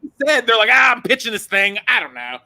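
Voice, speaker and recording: A male comedian hosting a casual live-stream chat, animated and laughing often as he tells stories. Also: extremely high pitch. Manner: animated, laughs often, quick bursts of storytelling. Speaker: comedian host; father.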